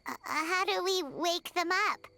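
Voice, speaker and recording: Squeaky childlike game companion. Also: female voice, unhurried, creaky, over music, full character voice. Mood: puzzled. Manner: exclaiming, scolding, cheeky. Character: small, brash, indignant childlike female companion. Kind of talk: animated video game voice acting.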